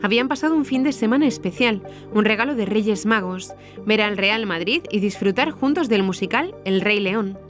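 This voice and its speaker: A woman from narrates the news from a script. Her pitch is average for a woman, and there is faint music behind her. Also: animated, moderate pace. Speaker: news presenter. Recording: music under the voice.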